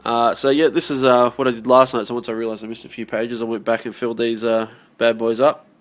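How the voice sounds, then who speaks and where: A young man vlogging casually about his comic work, relaxed and rambling with lots of fillers, a bit sniffly with his voice slightly off. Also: medium pitch. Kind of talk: casual video diary.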